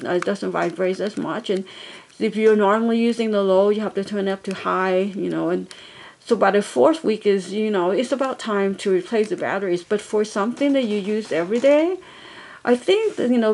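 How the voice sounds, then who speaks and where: A woman's voice: mid-range voice, a little mellow. Woman chatting about a little gadget off camera, lots of ums and restarts, home camera mic. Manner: chatty, rambling, many fillers and restarts. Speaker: product reviewer. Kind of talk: casual product review.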